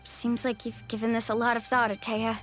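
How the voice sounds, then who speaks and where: A woman's voice: on the high side, level tone, a little fast, soft background music, natural voice. A woman voicing a caring, loyal young warrior, earnest and emotional, in a dramatic video game style. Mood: solemn, supportive.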